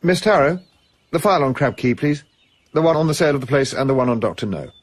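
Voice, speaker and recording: An actor in a radio drama playing a jovial, chatty colonial official with an upper-class English accent. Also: male voice, medium pitch, expressive, fast talker, slight background noise, close to an everyday voice. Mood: chatty, informative. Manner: chatty, old-school enthusiasm.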